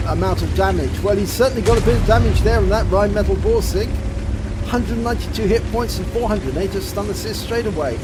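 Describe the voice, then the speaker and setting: A man commentating on a tank game replay, a bit rough and nasal from a cold, explaining each shot and the damage it does. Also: high-pitched, heavy background noise. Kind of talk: gameplay commentary over a replay. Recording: loud game battle sounds behind voice. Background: tank game gunfire and engines.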